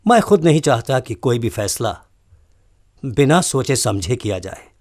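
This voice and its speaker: An earnest, non-violent college principal in a radio play, acted by a man. He speaks slowly and deliberately, with a very animated pitch that rises into pointed, reproachful questions. He pauses for weight as he pleads. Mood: earnest, conciliatory. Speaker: actor. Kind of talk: radio drama acting.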